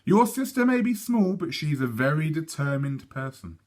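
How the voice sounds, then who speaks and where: A man voicing a reasonable, practical father in a storybook, patient and gently questioning. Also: a little high, very animated, moderate pace, voice pushed up.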